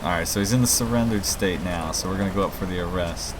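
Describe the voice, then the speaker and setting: Low-voiced man playing over game noise. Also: fast talker. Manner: informal, thinks aloud, addresses viewers. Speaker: gaming video host and mod maker. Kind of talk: casual let's play commentary. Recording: clear game rain and traffic noise. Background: video game rain, vehicles and city ambience.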